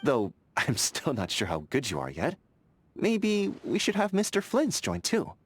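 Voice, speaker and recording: An actor playing an earnest, vigilant young sentry in a fantasy video game, friendly but overcautious, speaking in a methodical, conversational way. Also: male voice, mid-range voice, wildly swooping pitch, a little slow, a little hiss or hum. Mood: friendly, wry. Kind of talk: video game character dialogue.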